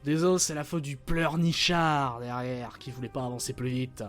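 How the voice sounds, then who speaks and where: A man's voice: medium pitch, little pitch movement, moderate pace, a bit bright, lightly acted. A man playing a surly, foul-mouthed teenage big brother, slangy and dismissive, in an audio drama. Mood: scornful. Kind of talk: French audio drama acting. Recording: music behind the voice.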